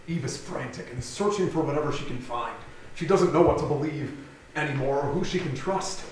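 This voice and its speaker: A man's voice: a little high, a little fast. A man reading scripted stage directions as the narrator of a staged theatre reading. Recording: very echoey theatre, distant mic, faint noise.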